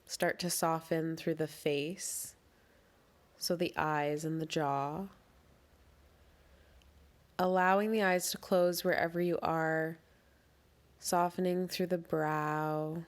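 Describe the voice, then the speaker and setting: Female meditation guide, unhurried and soothing, slightly low voice, calmly instructing through breathwork.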